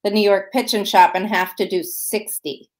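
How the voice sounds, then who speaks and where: A woman's voice: mid-range voice, steady tone, moderate pace. Woman, middle-aged, writing teacher, chatty, lots of fillers, webcam call. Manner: chatty, many fillers and restarts. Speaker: writing instructor. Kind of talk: online workshop teaching. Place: room at home. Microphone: webcam video call.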